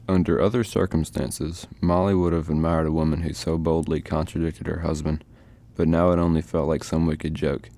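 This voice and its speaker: A man playing a measured storybook narrator, reading scripted narration in a radio play. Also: a bass voice, flat delivery, warm tone.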